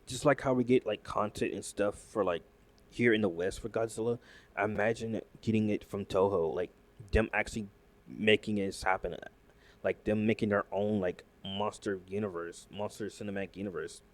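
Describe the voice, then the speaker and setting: A man reacting to news in casual commentary, excitable and rambling, full of fillers and restarts. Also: lowish voice, normal speed. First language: not Japanese. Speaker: YouTube commentator.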